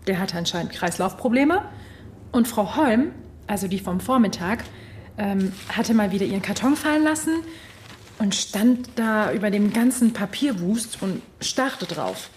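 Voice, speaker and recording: A woman's voice: medium pitch, expressive, unhurried, darkish tone, a little hiss or hum, natural voice. An actress playing an earnest, eager female tax office worker who narrates and makes calls in a radio drama. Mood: recounting.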